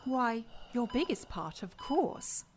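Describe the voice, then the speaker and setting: An actress playing a condescending scientist villain in a radio drama, clinical and taunting as she addresses her captive in an unhurried way. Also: a little high, big pitch swings, slight background noise. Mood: coolly menacing.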